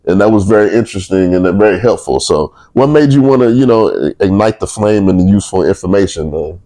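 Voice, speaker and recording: Deep voiced guy hosting a podcast, chill, laughs a bunch, voice swings around a lot. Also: fast. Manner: laughs often, relaxed and upbeat. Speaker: podcast host. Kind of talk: casual interview chat.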